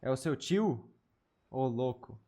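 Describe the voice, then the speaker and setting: A man's voice: mid-range voice, animated, measured pace, mellow. A man with a Brazilian accent, a native Portuguese speaker, chatting casually as a game streamer and often repeating words. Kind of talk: casual live stream chat. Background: game music.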